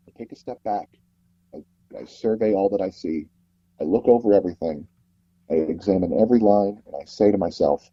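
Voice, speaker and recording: A man's voice: fairly low pitch, warm tone, breathy edge, no echo, highs cut off. A man speaking as an interview guest, an audio drama producer telling casual stories and acting out the dialogue he quotes. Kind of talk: casual anecdotal interview storytelling.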